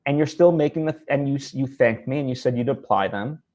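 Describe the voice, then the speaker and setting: A man's voice: medium pitch, a little fast, darkish tone. A man hosting a casual interview, thinking aloud in a tentative, hedging way. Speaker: interview host. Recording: slightly dull, lo-fi audio.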